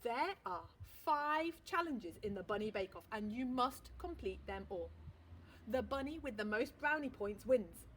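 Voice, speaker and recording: A woman's voice: fairly high pitch, animated, a little slow, a bit bright, a little hiss or hum. A woman reading aloud as bunny bake-off judges announcing the rules in a formal way.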